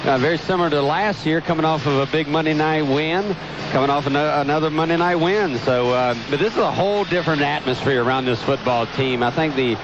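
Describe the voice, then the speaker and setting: A man's voice: a little high, brisk. A man with an American accent giving live football analysis as a radio color commentator. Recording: muffled radio broadcast, stadium noise behind. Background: stadium noise.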